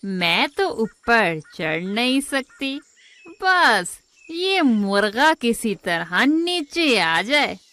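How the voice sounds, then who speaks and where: Cartoon vixen, played by a woman: high, swooping, sly and smooth-talking, drags out her words. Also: very animated, pushed and bright, dry recording, heavily acted. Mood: scheming. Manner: wheedling, scheming, drawn-out words. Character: sly, hungry, smooth-talking female fox. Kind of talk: children's animated moral story acting.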